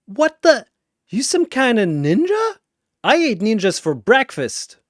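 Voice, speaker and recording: A man voicing a cocky, loudmouthed young fighter in a brash, taunting manner for an animated-style battle story. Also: extremely high pitch, unhurried, dry recording, studio quality, pitched above the performer's own voice, exaggerated voice.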